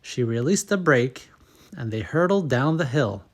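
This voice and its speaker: A man narrating a children's storybook as host, in a measured, expressive read-aloud manner. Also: mid-range voice. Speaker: storybook reader and channel host.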